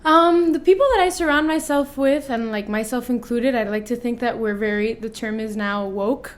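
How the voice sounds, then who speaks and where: A woman's voice: on the high side, moderate pace. Young woman, thoughtful and easygoing. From Venezuela. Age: 20s. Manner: thoughtful, restarts often, mixes in Spanish words. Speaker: singer-songwriter guest. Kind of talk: casual interview conversation.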